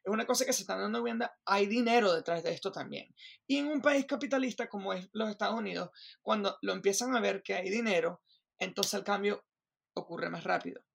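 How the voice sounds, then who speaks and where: A woman from Venezuela with a Venezuelan accent, talking as a guest in an interview in an animated way, restarting sentences and checking in with the listener. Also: mid-range voice, brisk, creaky, slightly rough. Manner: animated, restarts, keeps asking "me entiendes". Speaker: Broadway performer, guest. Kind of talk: interview conversation.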